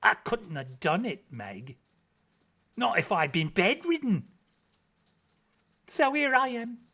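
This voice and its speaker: A man's voice: high-pitched, very animated, takes it slowly, bright, forceful tone, breathy edge, pitched above the performer's own voice, exaggerated voice. A man voicing a good-humoured, effusive woman of about fifty, motherly and theatrical in her gushing. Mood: gushing, affectionate.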